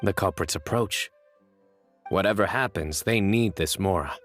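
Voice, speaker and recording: An actor playing a stern, observant lawman in a video game, with a measured, matter-of-fact, accusatory delivery. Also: low-pitched, a bit bright. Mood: analytical. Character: stern male lawman, observant, cool, authoritative investigator. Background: background music.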